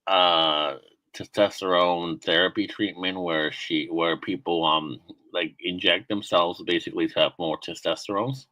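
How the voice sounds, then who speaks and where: A man's voice: low-pitched, fairly even intonation, a little slow, sharp tone, dry recording, rough recording. A young man hosting a casual stream chat, asking questions with many fillers and restarts. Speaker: streamer host. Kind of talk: casual chat, interviewing a guest.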